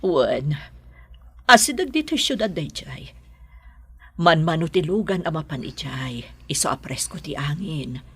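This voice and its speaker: Elderly mother, gentle and worried, radio drama. Husky, lowish voice. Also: sing-song, measured pace, dry recording, heavily acted. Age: older. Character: gentle, worried elderly mother advising her grown children. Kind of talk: Ilocano radio drama acting.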